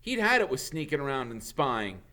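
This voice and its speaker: Animated man reading a story. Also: mid-range voice, normal speed. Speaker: teacher reading a book aloud. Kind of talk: expressive storybook narration.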